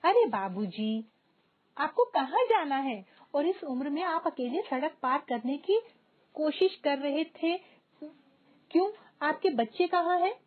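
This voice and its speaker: A woman's voice: sits high, sing-song, a little slow, voice pushed up. A woman voicing a determined, respectful young daughter in a Hindi moral story, earnest and pleading. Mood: concerned. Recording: dull lo-fi audio, music behind.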